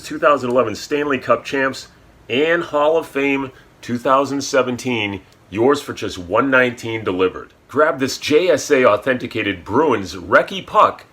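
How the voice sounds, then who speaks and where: A man reading a scripted, upbeat sales ad for sports memorabilia in a punchy pitch. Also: a bit bright.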